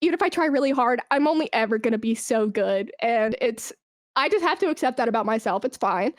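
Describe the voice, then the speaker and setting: High-voiced woman chatting casually. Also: a little fast, no room sound, studio quality. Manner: animated, self-deprecating, slangy. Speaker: podcast guest, esports brand manager. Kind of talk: casual chatty podcast interview.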